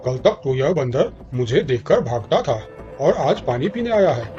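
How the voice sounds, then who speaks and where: A man's voice: medium pitch, big pitch swings, moderate pace, natural voice. A man voicing a scheming, hungry crocodile villain in a children's cartoon, in a dull recording with music. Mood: gloating. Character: scheming, hungry male crocodile villain, scornful of other animals.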